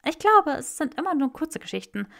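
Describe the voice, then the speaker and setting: A woman doing a high, eager young rebel lad. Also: brisk, vocal fry, full character voice. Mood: eager gossiping. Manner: excitable, blurting. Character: chatty young male rebel, eager gossip.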